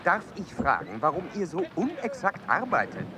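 An actor playing a strict, pedantic, driven explorer and scientist in a radio play, clipped and commanding. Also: male voice, high-pitched, huge pitch swings, normal speed, heavy background noise. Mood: indignant. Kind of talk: German radio play acting.

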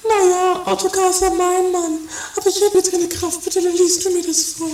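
A performer voicing a drunken, theatrical, murderous aristocratic widow in a high, whining, haughty falsetto for a trashy improvised horror comedy radio play. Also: monotone, moderate pace, darkish tone, echoey room, slight background noise. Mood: indignant, menacing. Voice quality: very high-pitched put-on falsetto.

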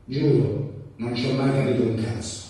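A man's voice: mid-range voice, fairly even intonation, normal speed, dark tone, natural voice. A man playing a baffled, exasperated job seeker in a satirical stage play, muttering and grumbling. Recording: echoey hall, distant mic.

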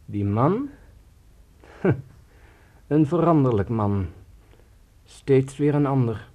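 A man's voice: mid-range voice, expressive, slow pace. A man playing an aging, dreamy, half-mad guitarist and storyteller in a radio drama, with a poetic, murmuring delivery. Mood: sly, calm. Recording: loud guitar music behind.

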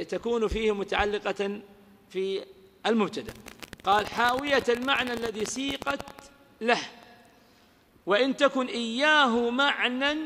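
A man teaching grammar in a lecture, quizzing his listeners with rhetorical questions and reciting verse lines slowly. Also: high-pitched, a bit bright. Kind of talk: lecture explaining a classical grammar poem. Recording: lecture audio with long hall reverb.

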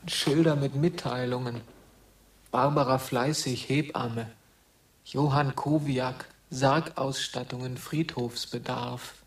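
A lost, brooding wanderer in a radio drama. His mid-range voice comes from a little way off the microphone. He speaks in halting, poetic phrases full of melancholy questioning. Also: medium pitch, steady tone. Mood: bleak, observing. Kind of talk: German radio drama acting. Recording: somewhat distant from microphone.

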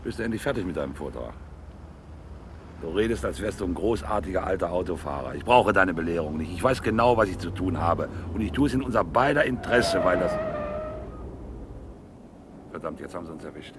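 Slick bossy businessman in a crime radio play, low voice, swoopy delivery, noisy with car sounds. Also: animated, a little fast, long pauses. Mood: irritated, domineering. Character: scheming businessman, smooth in company, bossy and irritable underneath. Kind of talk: German radio crime drama acting.

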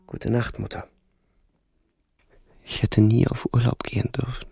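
An actor playing a weary, sensitive young soldier, narrating introspectively in a subdued, terse way in a German radio drama. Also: male voice, medium pitch, fairly even intonation, measured pace, creaky, dark tone, slightly rough, dry recording, whispered, studio quality, exaggerated voice. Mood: anguished. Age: young adult. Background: music.

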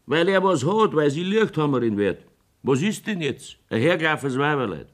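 Blustering Bavarian mayor, very animated. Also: male voice, a little high, moderate pace. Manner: earthy, folksy, blustering. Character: wily, blustering village mayor, jovial and evasive. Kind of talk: dialect radio play acting.

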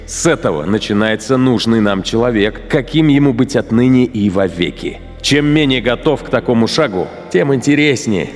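An actor playing a cold, boastful, fanatical SS battalion commander, delivering a theatrical, sardonic monologue in a radio play with deliberate emphasis. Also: male voice, mid-range voice, animated, close to an everyday voice. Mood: cynical, menacing. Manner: theatrical, sardonic, contemptuous chuckling asides, deliberate emphatic phrasing. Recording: reverberant hall sound, faint music.

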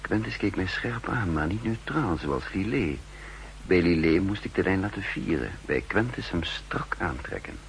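An actor playing a self-assured spy who recounts his undercover life in measured, formal 1960s radio drama narration. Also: low voice, lively intonation. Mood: dry, observant. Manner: measured, formal, literary storytelling. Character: self-assured male spy posing as a counterintelligence chief, recounting his story. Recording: 1960s radio recording with hum and noise.

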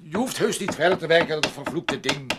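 A man's voice: on the high side, brisk, very noisy, close to an everyday voice. An actor in a radio play playing a jealous, possessive man who is scornful, clinging and taunting. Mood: exasperated. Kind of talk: Dutch radio play acting.

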